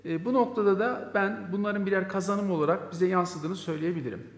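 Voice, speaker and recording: A man's voice: high voice, moderate pace. A man, a teacher giving an interview testimony. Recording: echoey room with long reverb.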